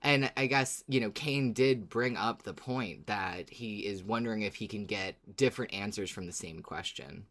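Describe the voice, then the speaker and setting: A woman's voice: very deep voice, moderate pace, hardly any pauses, fairly bright tone. A woman co-hosting a podcast in casual, informal chat. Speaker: podcast co-host.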